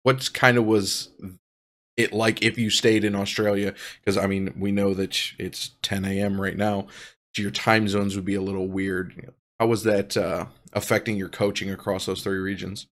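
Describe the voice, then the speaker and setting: A man in his thirties, a podcast host, asking long, halting interview questions with fillers. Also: fairly low pitch, level tone. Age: 30s.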